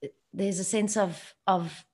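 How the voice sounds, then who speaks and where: A woman from South Africa whose first language is Afrikaans, a guest telling her family story in a soft-spoken, reflective way with some halting restarts. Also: medium pitch, husky. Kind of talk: personal storytelling.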